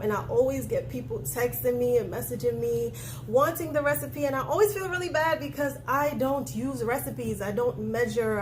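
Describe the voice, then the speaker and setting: A woman's voice: fairly high pitch, slight background noise. Chatty woman chef with a stuffy, nasal cold. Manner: chatty, relaxed, many ums. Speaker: private chef. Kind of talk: home cooking demonstration. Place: home kitchen. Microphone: handheld phone camera.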